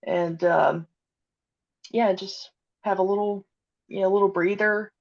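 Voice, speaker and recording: A woman being interviewed about her audio drama, speaking hesitantly with many pauses and fillers. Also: mid-range voice, fairly even intonation. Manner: hesitant, many ums and uhs. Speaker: podcast guest, audio drama creator. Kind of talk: casual interview chat. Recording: dull lo-fi audio.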